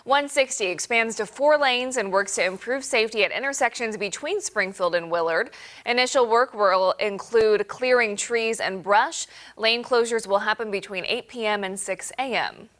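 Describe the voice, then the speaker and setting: A woman's voice: fairly high pitch, a bit bright. A female news anchor on a morning show, reading the news steadily and bantering in a friendly way with her co-anchor. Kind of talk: morning newscast.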